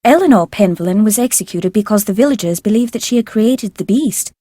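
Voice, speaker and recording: An actress playing a formal, secretive tutor at an old manor in a video game, with a prim, proper, measured manner. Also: female voice, medium pitch, animated, mellow, no echo, clean studio sound, natural voice. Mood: formal, polite. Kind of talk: scripted video game voice acting.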